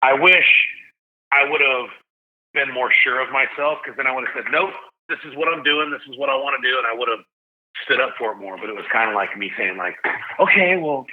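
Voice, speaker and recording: A middle-aged man, a sports announcer, telling stories as a podcast guest in an energetic, chatty way, often saying "dude" and "like". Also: mid-range voice, fairly quick. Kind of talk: casual storytelling interview. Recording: narrow phone-like sound.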